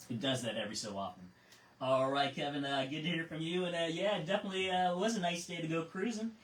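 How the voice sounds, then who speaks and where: A middle-aged or older man acting as net control on a ham radio net, talking casually through check-ins and often halting to repeat words. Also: on the high side, a bit bright. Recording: roomy, distant mic, faint noise.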